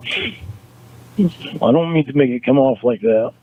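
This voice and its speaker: A man in a recorded phone argument, talking in a halting, defensive way and repeating words as he restarts. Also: medium pitch, expressive, a little hiss or hum. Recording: tinny phone line recording.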